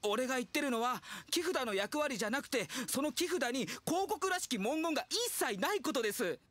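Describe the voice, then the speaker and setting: A man's voice: very high voice, expressive, normal speed, fairly bright tone, no room sound. A man playing a polite, worried funeral parlor assistant in a Japanese anime game, deferential and doubtful. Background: game music.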